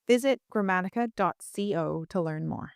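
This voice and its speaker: A woman lawyer and educator giving a polished, measured scripted ad read. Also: lowish voice, vocal fry.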